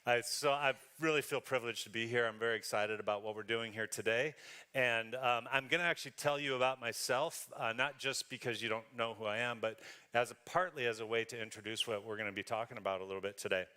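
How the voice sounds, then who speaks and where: A man's voice: mid-range voice, fairly even intonation, quick pace, pushed and bright, clean tone. A man from Western Canada giving a conversational sermon as a guest speaker, self-deprecating and often laughing.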